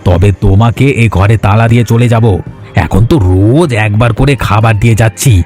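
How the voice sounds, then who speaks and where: A man voicing a calm, scheming male captor in a cartoon, speaking in flat, businesslike threats. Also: fairly low pitch, fairly quick, close to an everyday voice.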